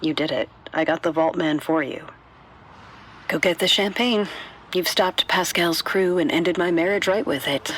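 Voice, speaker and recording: A woman's voice: on the low side, moderate pace, fairly bright tone, a little hiss or hum, close to an everyday voice. A woman playing a bitter, guarded bank robber and mother, speaking conversationally in an audio drama monologue. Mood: resigned, sardonic. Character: tough female bank robber, bitter mother, wryly sardonic.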